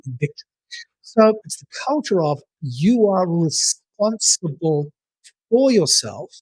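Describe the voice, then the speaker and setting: A middle-aged man from England with an Australian-tinged English accent, speaking as an interview guest over a video call and acting out quoted thoughts in lively asides. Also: a little high, slow, slightly rough, no room sound, rough recording. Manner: animated storyteller, acts out quoted inner dialogue. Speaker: guest speaker on self-leadership. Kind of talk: conversational interview answers. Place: bright room with arched windows, indoors.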